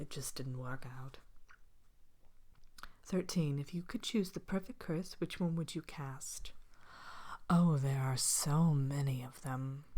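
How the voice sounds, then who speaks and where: A woman playing a vain, haughty evil queen from a fairy tale, speaking softly and often whispering in an ASMR character monologue. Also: a bass voice. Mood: smug, dry. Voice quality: soft-spoken, often breaking into whisper. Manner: haughty, languid, dryly wicked. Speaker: character host of an ASMR show.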